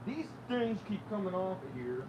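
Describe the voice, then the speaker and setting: Casting: American male DIY streamer, voice a little high, off-the-cuff muttered chat while working, light workshop noise. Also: very animated, normal speed, muffled recording. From United States. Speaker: livestream host, DIY woodworker. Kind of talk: casual unscripted livestream chat while working. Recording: noisy workshop, machinery rumble.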